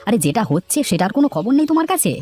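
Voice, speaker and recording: A man acts an excitable village neighbor in a moral-story cartoon. He talks quickly and pushily, his pitch jumping about. Music plays underneath. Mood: excited, teasing.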